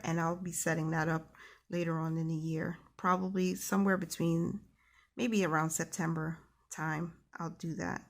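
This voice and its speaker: A woman with a New York accent, chatting to viewers in an upbeat way as she goes through her savings planner at her desk. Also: lowish voice. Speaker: personal finance vlogger. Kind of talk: casual walkthrough of a savings planner. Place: desk at home, hands writing in a planner.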